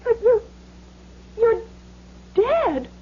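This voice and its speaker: Vulnerable young wife, extremely high voice, slow halting lines, muffled vintage radio drama. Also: female voice, sing-song, heavily acted. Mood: terrified, pleading. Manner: halting, emotional, pleading. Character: frightened young pregnant wife, gangster's former sweetheart. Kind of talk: 1970s radio drama acting. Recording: muffled, noisy old radio recording.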